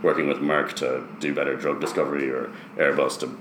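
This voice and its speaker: A man's voice: very deep voice, little pitch movement, brisk. A man from Ireland who has lived in the US, answering interview questions as a founder in a conversational, explanatory way. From Ireland, later years in the US. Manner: explanatory, often checks with "right?". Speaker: interview guest, startup founder. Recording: roomy echo, faint background noise.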